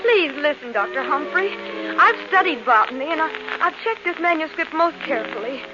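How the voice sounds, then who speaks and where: A woman's voice: high-pitched, animated, a little fast, music in the background. A woman playing an anxious, earnest young niece in 1940s radio melodrama, plaintive and hesitant. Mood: bewildered, pleading. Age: young adult. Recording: noisy, muffled 1940s radio recording.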